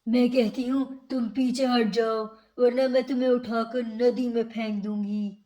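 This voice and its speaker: A woman's voice: on the high side, level tone, unhurried, natural voice, rough recording. A woman playing a big, angry female cow who orders and threatens in a children's story. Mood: angry, threatening. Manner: bossy, threatening, forceful delivery.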